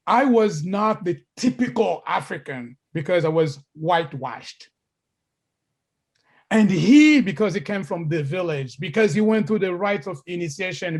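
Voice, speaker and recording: A middle-aged man from Congo giving a lecture as a story, repeating words and restarting sentences. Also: sits high.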